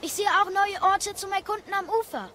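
A woman's voice: extremely high pitch, a little fast, sharp tone, a little hiss or hum. A woman playing a curious, tender-hearted young boy in an audio play, eager and full of questions. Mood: excited. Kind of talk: dramatised audio play acting.

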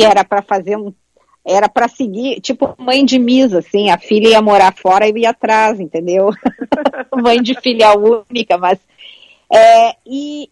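A woman's voice: a little high, no room sound. A middle-aged woman co-host, chatting and teasing on a radio talk show with frequent restarts.

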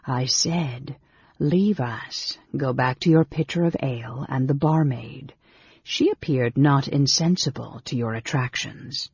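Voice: mid-range voice, measured pace, a little mellow, husky, no room sound, highs cut off. A performer voicing a frail, sickly young male mage in a weak whisper, sneering, formal and commanding, for a fantasy story. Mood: cold, sneering.

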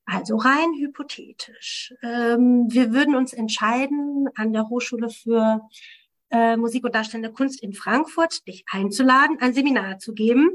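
Woman moderator, pitch a touch high, calm and organized over a video call. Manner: friendly, structured, fillers. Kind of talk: online panel moderation.

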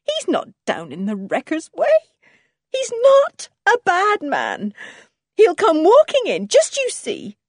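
A woman's voice: medium pitch, huge pitch swings, moderate pace, darkish tone, slightly rough, no room sound, studio quality, heavily acted. A woman voicing an indignant farmer's wife in a storybook, fiercely loyal to her husband and emphatic in her scolding. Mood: shocked, disbelieving. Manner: emphatic, scolding, repeats herself.

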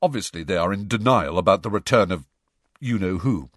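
A man's voice: sits low, lively intonation, a little fast, dry recording, clean studio sound. Man putting on a pompous, swooping teenage schoolboy. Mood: indignant. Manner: pompous, declamatory.

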